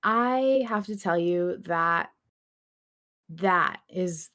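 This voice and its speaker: A woman with a slightly low voice co-hosts a fan podcast. She talks casually and earnestly, putting real emphasis on her opinions as she goes. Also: extremely slow, fairly bright tone. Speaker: podcast host. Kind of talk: casual fan discussion of an audio drama.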